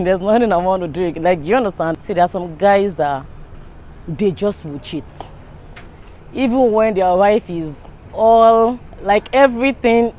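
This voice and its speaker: A Nigerian-accented woman chatting casually and expressively as she gives her opinion. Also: medium pitch. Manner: expressive, acts out quotes. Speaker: street interviewee. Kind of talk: casual chat.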